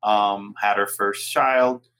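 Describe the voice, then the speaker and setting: A man in his forties from Puerto Rico, a lawyer and interview guest, recounting his career in a relaxed storytelling way. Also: lowish voice, takes it slowly, smooth. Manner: relaxed storytelling, occasional laughs. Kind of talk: casual interview.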